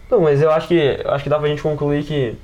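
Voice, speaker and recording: A man's voice: a little high, little pitch movement, fast, a little mellow. A man with a Brazilian Portuguese accent hosting a casual chat in an informal, slangy, jokey way. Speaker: podcast host.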